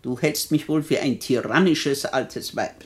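An actress playing a bedridden, rich old widow in a 1960 German radio play, imperious, teasing and dryly mocking in articulate speech. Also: female voice, a bass voice, a little slow. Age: older.

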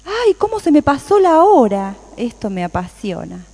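An actress plays a friendly, passionate Argentine woman who bakes at home, in a radio drama. Her Rioplatense voice is slightly high and swings expressively up and down. The recording rings with long reverb. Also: big pitch swings, moderate pace, darkish tone. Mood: cheerful, absorbed. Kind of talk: radio play acting. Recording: very echoey room with long reverb.

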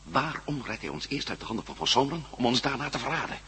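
An actor playing a suspicious, brooding prisoner in a radio drama, agitated and questioning. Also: male voice, medium pitch, sing-song, fast talker, bright, forceful tone, slight background noise. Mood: puzzled, troubled.

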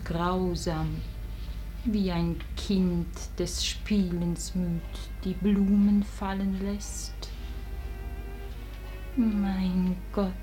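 A woman's voice: lowish voice, dark tone, rough recording. An actress playing a forsaken, dying woman in a German verse radio drama, speaking solemnly and lyrically, often sinking to whispers, wistful and wounded. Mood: wounded reproach.

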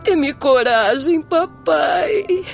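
A woman's voice: sits high, expressive, very slow, gravelly, faint music behind, exaggerated voice. A woman playing a suffering, frightened young daughter in a radio drama, crying and imploring in a melodramatic way. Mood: tearful pleading.

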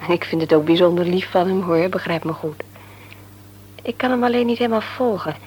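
An actress playing a worried, thoughtful mother in a Dutch radio play, speaking in a measured, explanatory way. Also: fairly low pitch, a little mellow, lightly acted. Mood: concerned, fond. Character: Els, worried mother and former nurse, thoughtful. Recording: humming, noisy radio play recording.

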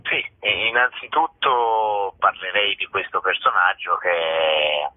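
Male interview guest, low voice, halting off-the-cuff storyteller, dry telephone sound. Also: dry recording. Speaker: theatre director, interview guest. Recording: dry narrow phone line.

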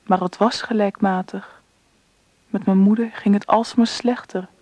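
An actress playing a subdued daughter who narrates a radio drama in an even, reflective way. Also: female voice, mid-range voice, unhurried, no echo, natural voice. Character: subdued daughter narrating her family's story.

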